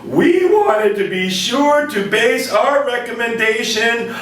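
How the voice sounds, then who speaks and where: A man voicing a gleefully dismissive puppet bureaucrat in a satirical skit, giggly and flippant, with drawn-out exaggerated delivery. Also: very high voice, animated, a bit bright, heavily acted. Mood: smug. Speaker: agency spokesman in satirical skit. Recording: very echoey room, far from microphone.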